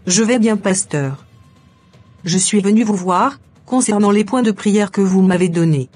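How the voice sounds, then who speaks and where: A woman's voice: medium pitch, a little slow, darkish tone, husky, faint music behind. A woman voicing a trusting, deferential parishioner in a cartoon. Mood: worried, respectful. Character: trusting, respectful female parishioner seeking guidance. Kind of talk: dramatic animated dialogue.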